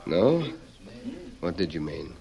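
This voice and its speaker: Swaggering low-voiced outlaw. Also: male voice, very animated, takes it slowly, creaky, mellow, full character voice. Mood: sly, scheming. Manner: cocky, slangy, goading. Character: swaggering, menacing, scheming male western gunslinger outlaw. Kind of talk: old-time radio western acting. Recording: muffled vintage radio recording.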